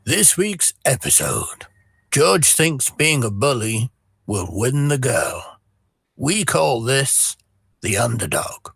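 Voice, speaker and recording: A man's voice: medium pitch, very animated, slow pace, vocal fry, fairly bright tone, slightly rough, no room sound, clean studio sound. A man announcing an audio drama with a scripted introduction.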